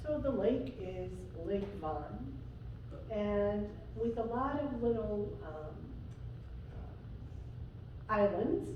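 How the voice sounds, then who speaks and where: A woman from Syria, a guest preacher, telling a personal story in a sermon with slow pauses and fillers. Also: mid-range voice, animated, lo-fi sound, background noise. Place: church sanctuary. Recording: echoey church from far off.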